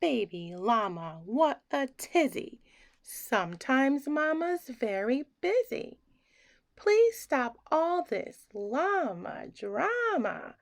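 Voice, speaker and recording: A woman reading a children's bedtime story aloud in an expressive, theatrical way that acts out the emotions in the words. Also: high voice, vocal fry.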